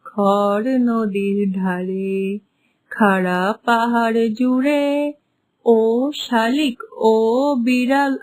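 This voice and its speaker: A woman's voice: fairly high pitch, fairly even intonation, extremely slow. A woman voicing a naive, trusting rooster in a children's cartoon. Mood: pleading. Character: naive trusting yellow-crested rooster, plaintive when caught. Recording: faint background noise.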